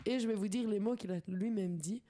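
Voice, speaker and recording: A woman's voice: medium pitch, a little fast, dark tone. A young woman hosting a light quiz of news anecdotes on the radio, hesitant and often breaking into giggles. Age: young adult. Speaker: radio show host presenting a quiz game.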